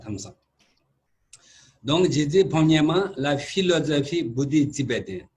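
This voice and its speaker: A Buddhist monk with a Tibetan accent mixed with some Quebec French, giving a conference talk in halting, broken French, calmly repeating key words in short phrases. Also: male voice, on the high side.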